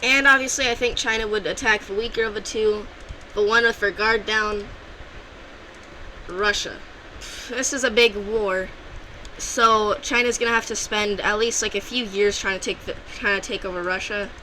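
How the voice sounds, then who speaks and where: A woman talks casually over an alternate-history map, keeping her voice bright and clear at a middling pitch. She rambles, backtracks and corrects herself often, like unscripted commentary. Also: steady tone, moderate pace, slight background noise, rough recording. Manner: loose and rambling, with frequent restarts and self-corrections.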